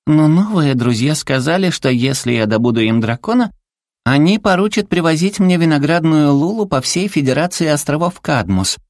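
A man's voice: on the high side, very animated, normal speed, dry recording, studio quality, pitched above the performer's own voice. A man voicing a cute, small, furry female villain in an audiobook, sweetly polite and pouty with gushing manners. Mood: gushing, earnest.

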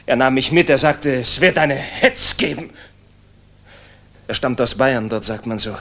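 An actor playing an idealistic, disillusioned young German officer in a 1960s radio play, earnest, halting and intense. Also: male voice, mid-range voice, fairly bright tone. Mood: haunted, subdued.

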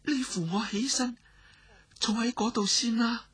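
A man voicing a shy young noblewoman who gives soft, bossy orders. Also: very high voice, steady tone, a little slow, breathy edge, voice pushed up, heavily acted. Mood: embarrassed.

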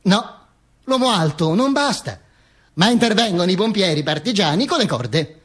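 High, husky man in theatrical monologue. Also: big pitch swings, normal speed. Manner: declamatory, repetitive, emphatic phrasing. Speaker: stage actor performing a monologue. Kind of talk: theatrical dramatic monologue for radio.

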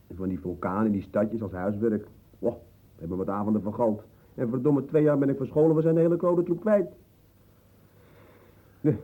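A man's voice: fairly low pitch, natural voice. An actor playing an offhand, grumbling young man in an old radio play. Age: young adult. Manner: offhand, hesitant, swearing. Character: casual, grumbling young man, recently out of school. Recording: thin, phone-like old radio play recording.

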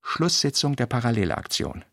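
A man narrating a literary radio drama, reading fragmentary notes in measured, clipped, deliberate phrases. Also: medium pitch, sing-song, fairly bright tone, dry recording, studio quality.

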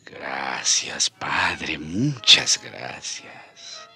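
An actor playing a frail, devout old friar in a radio drama, with a husky, worn voice and a halting, earnest, pleading manner. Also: male voice, very deep voice, sing-song, pushed and bright, soft background music, heavily acted. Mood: weary, pleading. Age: older.

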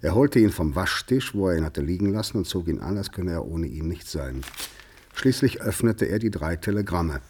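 An actor narrating a literary mystery tale in a 1950s radio play, measured and deliberate. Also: male voice, deep voice, expressive, a little mellow, a little hiss or hum. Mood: measured storytelling. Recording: 1959 radio studio recording.

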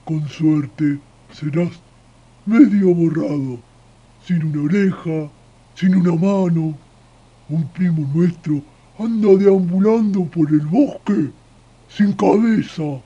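A man's voice: on the high side, mellow, slightly rough. A man playing a maimed, gloomy forest troll with grave delivery, in a radio drama. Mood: grim warning. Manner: slow, grave. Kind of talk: Spanish-language radio drama acting. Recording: dull, muffled sound.

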